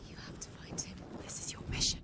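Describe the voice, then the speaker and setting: A woman's voice: fast, natural voice. A woman voicing a fretful, taunting voice in someone's head, urging in short fragments, in a video game. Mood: insistent. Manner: urgent, fragmented, fretful whispering. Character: whispering female fury, restless taunting voice in the head. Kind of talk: breathy video game voice acting.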